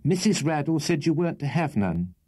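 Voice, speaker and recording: A man's voice: a little high, brisk, pitched above the performer's own voice. A man voicing a stubborn, curt servant girl in a storybook reading, refusing firmly. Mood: defiant. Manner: flat refusals.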